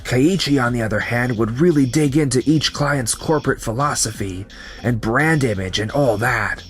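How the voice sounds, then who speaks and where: A man's voice: medium pitch, moderate pace, a bit bright, lightly acted. A man voicing young company men in a manga dub, with narration, over background music. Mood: earnest, explanatory. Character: betrayed young company founder, calm and resigned, and his coworkers. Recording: music under the voice.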